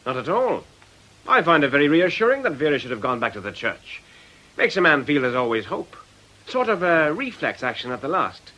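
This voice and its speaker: Bright, lively, glib nightclub owner. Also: male voice, on the high side, fairly quick, heavily acted, low-quality recording. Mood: frank, disarming. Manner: sardonic, breezy, evasive. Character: glib, cagey nightclub owner, a worldly man. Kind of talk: radio drama acting.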